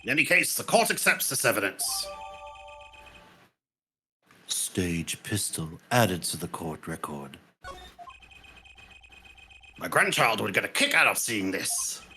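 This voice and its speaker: A man voicing a solemn, grandfatherly old judge who makes pompous, deliberate pronouncements in a live game fan dub. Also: lowish voice, sing-song, bright, forceful tone, faint music behind, heavily acted. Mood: formal. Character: elderly grandfatherly male judge, solemn yet easily impressed.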